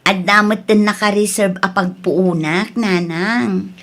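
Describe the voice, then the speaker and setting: A woman's voice: medium pitch, fairly even intonation, slow pace, fairly bright tone. A woman playing an easygoing, coaxing younger Ilocano woman in a radio comedy drama, with an Ilocano Filipino accent. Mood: cheerful, coaxing.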